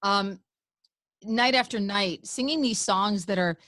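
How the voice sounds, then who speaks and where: A woman's voice: mid-range voice, measured pace, a bit bright, no room sound, clean studio sound. A woman radio host, enthusiastically asking effusive interview questions.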